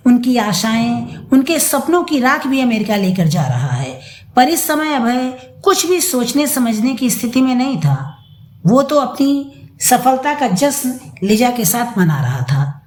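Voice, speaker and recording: A woman narrating a scripted audio story in a dramatic, deliberate storytelling manner. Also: fairly high pitch.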